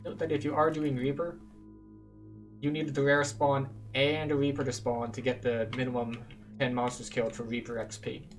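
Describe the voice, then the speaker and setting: A man's voice: mid-range voice, level tone, moderate pace, distant mic, faint music behind. Casual man guiding a game playthrough. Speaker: game guide. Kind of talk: casual game walkthrough commentary.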